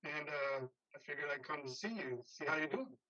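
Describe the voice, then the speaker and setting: An actor playing an easygoing, loyal construction worker friend in a play reading, talking casually and haltingly. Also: male voice, fairly high pitch, animated, sharp tone, highs cut off. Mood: breezy. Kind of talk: remote staged play reading.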